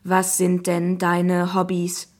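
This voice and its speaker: A woman voicing a friendly, coaxing AI app character in a children's audiobook, speaking in a slow, measured way. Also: fairly low pitch, monotone. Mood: friendly, inviting. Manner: slow, measured, deliberate delivery. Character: friendly, smooth-talking AI app voice, inviting and coaxing.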